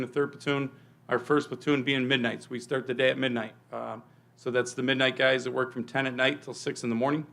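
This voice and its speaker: A police chief briefing a local board, talking quickly in a gravelly voice. He speaks loudly so the room can hear him, with plenty of "uh" and "um". The pitch stays flat throughout. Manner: speaks loudly without a microphone, filler-heavy. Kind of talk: presentation to a village board. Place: meeting room.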